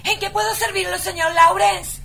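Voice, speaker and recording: A prim secretary in a comic radio play. The voice is extremely high, shrill and bright, squeaking along in a matter-of-fact singsong, with music in the recording. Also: female voice, fairly even intonation, normal speed, creaky, heavily acted. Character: shrill, prim female secretary to a ruthless boss.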